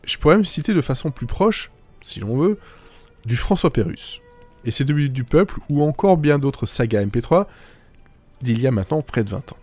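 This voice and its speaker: Wry male narrator with lively intonation. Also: mid-range voice, moderate pace, husky, faint music behind. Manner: self-deprecating, wry. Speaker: writer and narrator of a humour podcast. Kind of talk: scripted comedic narration.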